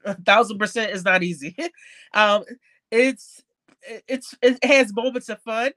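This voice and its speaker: A woman's voice: a little high, a little slow, fairly bright tone, no echo. Candid, slangy woman, slightly stuffy, close dry sound. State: stuffed-up nose. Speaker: podcast guest, card collector. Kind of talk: casual interview chat.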